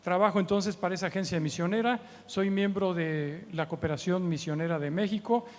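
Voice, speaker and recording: A man's voice: high voice, fairly quick. A man in his early seventies with a Mexican accent, giving an informal, conversational church teaching with humorous anecdotes and frequent tag questions. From Mexico City, Mexico. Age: early 70s. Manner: conversational, humorous, often seeks agreement with short tag questions. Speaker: guest preacher and missions trainer. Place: church meeting hall. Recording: long hall reverb from church sound.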